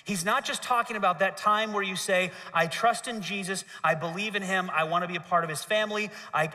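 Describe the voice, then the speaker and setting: A man in his thirties giving a children's sermon on a church stage, asking the audience to repeat after him. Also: sits high. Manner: lively, gestures and asks the audience to repeat. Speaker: pastor. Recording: hall reverb.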